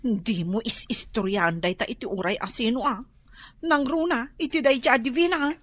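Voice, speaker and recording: A woman's voice: on the high side, moderate pace, fairly bright tone. A woman acting an aggrieved, gossipy village daughter in an Ilocano radio comedy drama, with an Ilocano accent and exclamatory delivery. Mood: upset, whining.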